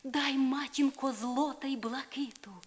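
A woman's voice: high voice, steady tone, a little slow, voice pushed up. A woman reciting dramatic poetry as a sorrowful, pleading young maiden in love, plaintive and lyrical, on a crackly vinyl record. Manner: plaintive, yearning, lyrical delivery.

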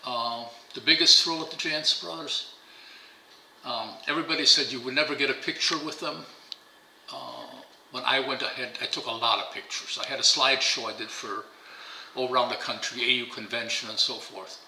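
A man's voice: medium pitch, steady tone, fairly quick, pushed and bright. Older man, German native speaker, rambling interview stories, repeats himself, echoey room, faint hiss. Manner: rambling, repeats words, chuckling asides. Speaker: interviewee, pigeon fancier. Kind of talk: casual interview storytelling. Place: bright home dining room, indoors.

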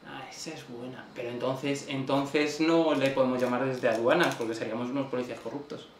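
A man's voice: mid-range voice, steady tone, brisk. A young man with a Spain accent, improvising casually as a prank caller. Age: young adult. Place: small home office, indoors. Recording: echoey room, clearly noisy.

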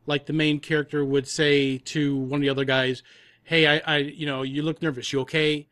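Higher-voiced man, explaining in casual discussion. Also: flat delivery, moderate pace, fairly bright tone, dry recording. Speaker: audio drama producer, panel guest.

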